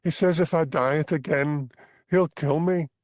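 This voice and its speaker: A man's voice: measured pace, a little mellow, dry recording, close to an everyday voice. A man reading an audiobook, voicing an anxious young woman trapped in an unhappy marriage, with a plaintive, pleading manner. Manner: pleading, plaintive, high-pitched. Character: anxious young crofter's wife, trapped and tearful. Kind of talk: audiobook character voice.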